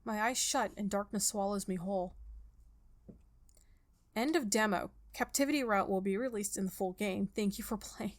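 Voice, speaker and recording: A woman hosting a game playthrough, reading a visual novel aloud and thinking out loud in an informal, expressive way. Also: mid-range voice, normal speed. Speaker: gaming video host. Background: music.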